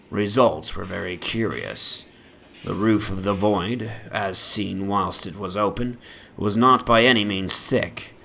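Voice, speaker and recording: A man giving a solemn, brooding dramatic reading of a first-person horror story, in literary phrasing that often sinks into a hush. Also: on the low side, vocal fry, a little hiss or hum. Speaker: narrator, dramatic reader. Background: ambient soundscape.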